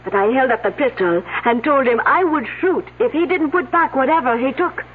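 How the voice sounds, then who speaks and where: Shaken girl scientist, high voice, careful words, tinny old radio. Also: expressive, a little fast, breathy edge. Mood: shaken, explaining. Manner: careful, formal phrasing. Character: earnest foreign young woman scientist, easily shaken. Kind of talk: old-time radio drama acting. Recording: tinny noisy old radio recording with hum.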